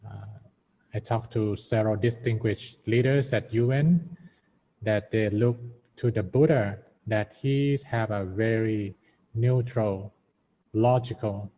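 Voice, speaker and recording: A Buddhist monk with a Thai accent who gives a measured, formal talk to a webinar over a video call. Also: male voice, lowish voice, mellow, big-room sound. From Thailand. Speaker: Buddhist monk, guest speaker. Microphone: computer mic on a video call. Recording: muffled video-call audio with faint hiss.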